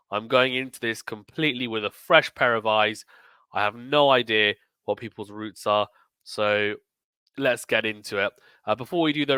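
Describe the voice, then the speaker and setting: Bright-voiced man giving casual sports commentary, slightly croaky. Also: lowish voice, no echo. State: slightly croaky, recovering from illness. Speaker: sports YouTube host. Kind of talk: casual tournament preview commentary.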